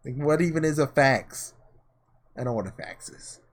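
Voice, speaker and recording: Animated man reading and reacting. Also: mid-range voice, moderate pace. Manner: animated, dramatic reading with offhand sarcastic asides. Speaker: gaming host reading aloud and reacting. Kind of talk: let's-play commentary and read-aloud narration of a visual novel.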